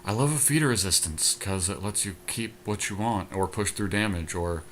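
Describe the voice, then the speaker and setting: A man with a fairly low voice gives casual commentary on a card game draft. He thinks out loud as he weighs each choice, with noticeable noise behind him. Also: normal speed. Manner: thinks aloud, deliberating over choices. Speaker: podcast co-host.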